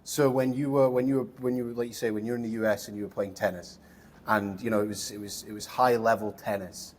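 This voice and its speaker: A man's voice: fairly low pitch, level tone, quick pace. A man hosting a casual interview, asking long, rambling questions with many fillers. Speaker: interview host.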